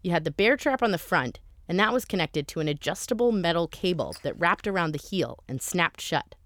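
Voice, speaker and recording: Woman host narrating a doc, low voice, chatty and a bit wry, dry close mic. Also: animated, fairly quick, a bit bright, no echo. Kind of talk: scripted documentary narration.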